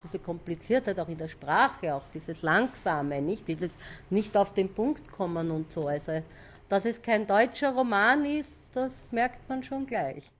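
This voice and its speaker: A woman's voice: mid-range voice, unhurried. A woman musing in a conversational interview.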